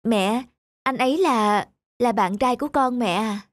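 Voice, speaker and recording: A woman's voice: fairly high pitch, measured pace, dry recording, lightly acted. A woman voicing an earnest, lovestruck young student daughter in a cartoon. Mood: hesitant. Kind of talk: cartoon dub acting.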